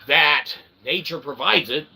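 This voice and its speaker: A man hosting his own channel, delivering a rambling, wordy philosophical monologue with sudden excited outbursts. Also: on the high side, unhurried, vocal fry, breathy edge, low-quality recording. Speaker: channel host.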